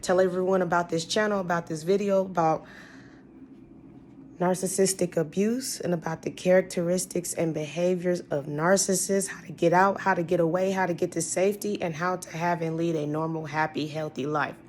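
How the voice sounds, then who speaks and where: A woman with a voice hoarse from a cold, chatting casually to her viewers and telling a long personal story. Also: on the low side, fairly even intonation, moderate pace, a little mellow, slight background noise. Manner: chatty, rambling, addresses viewers as y'all. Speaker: vlogger.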